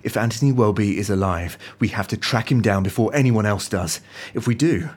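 A husky, eager spy. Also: male voice, on the low side, a little fast. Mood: smug, excited. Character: eager, ambitious male intelligence agent. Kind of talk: audio drama acting.

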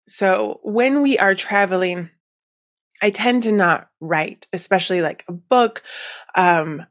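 A slightly nasal, stuffed-up woman with an American accent and a middling pitch talks as a podcast host. She addresses her listeners casually, wandering from thought to thought in a chatty way. Her voice carries the mild congestion of allergy season.

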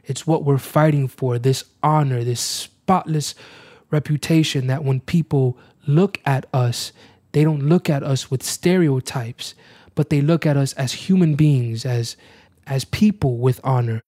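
A man's voice: medium pitch, unhurried, warm tone, dry recording. A male actor with a mid-range voice in a close, dry recording. He talks thoughtfully and with measure, restating phrases to press his point. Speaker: actor, interview guest. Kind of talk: thoughtful interview talk, quoting verse.

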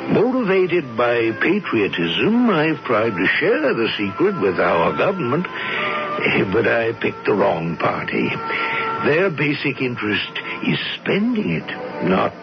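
An actor playing a wry, courtly American writer and humorist in a radio drama, droll and mock-formal with an American accent. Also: male voice, mid-range voice, huge pitch swings, slow pace, music in the background, exaggerated voice. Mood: wry reminiscing. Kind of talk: 1970s radio drama storytelling. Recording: dull vintage radio recording.

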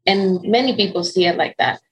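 A woman's voice: medium pitch, a little fast, slightly rough, low-quality recording. A woman in her forties from the Dominican Republic with a Dominican Spanish accent, telling her story in an interview, earnest and full of ums and restarts. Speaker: interview guest. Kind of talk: conversational interview.

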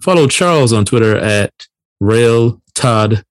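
A man in his late thirties, originally from Barbados, chats casually as a podcast co-host. His voice sits at a middle pitch, recorded very dry with next to no room sound. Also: lively intonation, slow pace, no echo. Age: late 30s.

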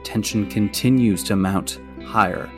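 A man narrates a scripted promotional trailer. His voice is on the low side, and music plays clearly beneath him. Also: unhurried.

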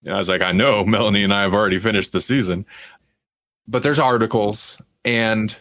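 Low-voiced man in casual podcast chat. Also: fairly even intonation, a little fast, fairly bright tone. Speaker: podcast host.

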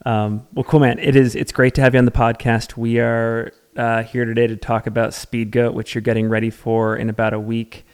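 A man's voice: mid-range voice, level tone, fairly quick, hardly any pauses, darkish tone, clean tone. A man hosting a podcast interview in a casual, informal, conversational way. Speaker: podcast host.

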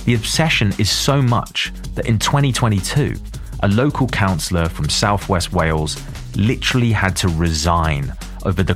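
Low-voiced southern English male narrator. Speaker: podcast host and narrator. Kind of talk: scripted narration and interviewing.

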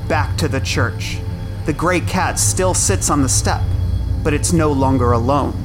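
A man reading scripted screenplay narration as a narrator, in a measured, atmospheric storytelling manner. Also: fairly high pitch. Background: music.

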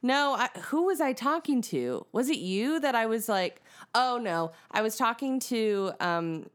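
A woman's voice: fairly high pitch, big pitch swings, measured pace, clean tone. A woman co-hosting a podcast, chatting casually, rambling with fillers and restarts and joking at her own expense. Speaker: podcast co-host. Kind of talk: casual chat.

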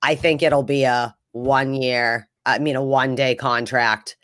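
Man, medium pitch, dry recording, casual sports talk, rambling, conversational co-host. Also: steady tone, measured pace, fairly bright tone, dry recording. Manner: rambling, conversational, thinks aloud.

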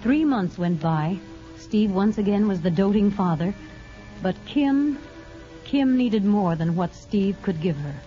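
An actress playing a solemn narrator of a family melodrama on 1950s radio. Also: female voice, mid-range voice, expressive, unhurried, mellow, lightly acted. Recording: dull old radio recording with music.

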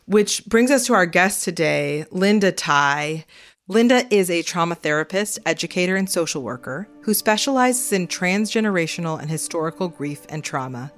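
A woman co-hosts a conversational podcast, asking her guest thoughtful questions. Her voice sits low. Faint music plays underneath. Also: animated, moderate pace, a bit bright. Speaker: podcast co-host. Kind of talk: casual podcast interviewing.

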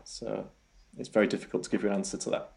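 Gravelly Yorkshire man, low voice. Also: flat delivery, quick pace, darkish tone, a little hiss or hum. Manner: hesitant, many ums and repeated words. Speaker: robotics researcher guest. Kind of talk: casual interview answers.

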